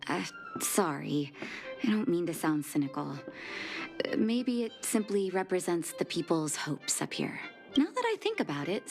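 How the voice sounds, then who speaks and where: A kind woman doctor from a video game, low-voiced with a gravelly edge, her tone rising and falling expressively as she speaks gently and earnestly. Game music plays behind her. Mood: reminiscing. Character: kind adult woman doctor, caring and reflective. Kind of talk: game cutscene voice acting.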